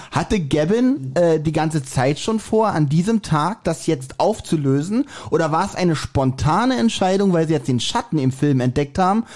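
A man's voice: fairly high pitch, lively intonation, normal speed, a little mellow. A man co-hosting a podcast, chatting casually and colloquially, with a joking, searching way of phrasing things. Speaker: podcast co-host. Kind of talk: casual chat, discussing an audio drama episode.

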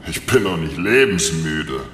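An actor playing a weary, reluctant big green dragon in a fairy-tale radio play, grumbling with dry resignation. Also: male voice, animated, unhurried, a bit bright, soft background music, exaggerated voice. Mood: resigned, grumbling. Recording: reverberant cave sound with rumbling noise.

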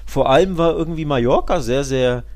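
A man co-hosting a football podcast, discussing the game casually with colloquial, measured analysis. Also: fairly high pitch, darkish tone.

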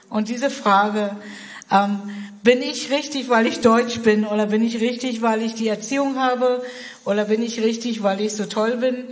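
A German woman speaks as an interview guest, slightly high in pitch and halting, with frequent fillers and restarts. Her voice rings with a long hall reverb. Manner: hesitant, many fillers and restarts. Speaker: missionary guest. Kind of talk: interview conversation.

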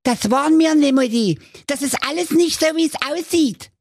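A man's voice: very high-pitched, normal speed, vocal fry, no echo, studio quality, pitched above the performer's own voice. A man voicing cheeky, whining little goat kids from a storybook, with a childlike questioning manner. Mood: defensive.

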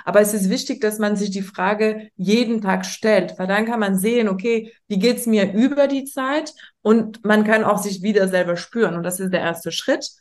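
A woman's voice: medium pitch, normal speed. A woman from France with a French accent, a native French speaker, talking as a guest in a casual interview in a thoughtful, hesitant way with frequent fillers. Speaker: guest, startup founder.

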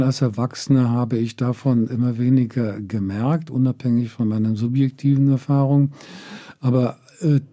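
An older man who grew up in Israel, answering interview questions in a slow, deliberate way with frequent hesitations. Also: medium pitch, mellow. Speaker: guest.

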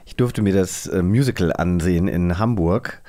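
A man's voice: low voice, moderate pace, dark tone. A man hosting a casual magazine show, feeling his way through sentences with some hesitation. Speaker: host.

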